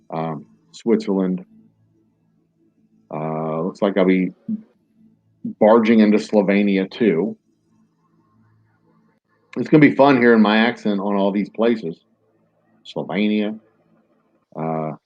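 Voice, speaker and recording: Low husky guy chatting thru his strategy game at home, kinda muffled lo-fi mic. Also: no room sound. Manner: rambling, self-mocking, many fillers. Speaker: gaming streamer. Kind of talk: casual let's-play commentary. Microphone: desk microphone.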